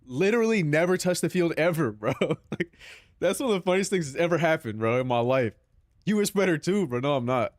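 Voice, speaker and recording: A man's voice: a little high, expressive, a little fast, no echo, clean studio sound. A young man with a Southern Californian accent, chatting casually on a stream in loose, profane banter full of "bro".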